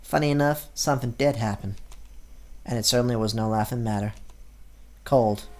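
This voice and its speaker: A man's voice: medium pitch, moderate pace, a little mellow. A gaming YouTuber, a man, reading a visual novel aloud in a casual, chatty way.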